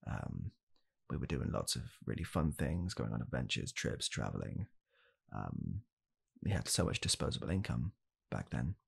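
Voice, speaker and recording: A young man playing a blunt, callous father in an ASMR comedy roleplay, speaking softly and haltingly in a deadpan way. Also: rumbling low, vocal fry, slightly rough, voice pushed down, heavily acted. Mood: wistful, regretful. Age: young adult. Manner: soft-spoken, halting, deadpan. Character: blunt, callous father calmly blaming his child. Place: small home room, indoors.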